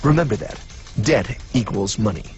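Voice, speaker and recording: Pompous mystery-show host, low and lecturing , a man voicing him. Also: expressive, a little mellow, highs cut off, close to an everyday voice. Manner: formal, lecturing, dramatic pauses. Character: solemn, pompous male mystery-show narrator. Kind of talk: cartoon voice acting. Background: music.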